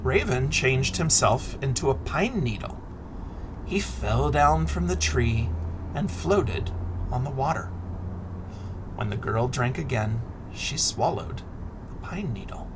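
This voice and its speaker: A middle-aged man reading a picture book aloud expressively to children, deliberate and dramatic, often dropping into a hush. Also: mid-range voice, lively intonation, noisy background. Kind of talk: expressive children's read-aloud with asides and questions.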